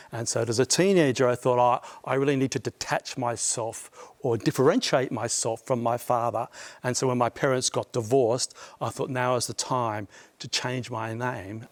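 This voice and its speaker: A man with an Australian accent, in his sixties, a studio guest telling a personal story with animated emphasis. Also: mid-range voice. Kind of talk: studio discussion.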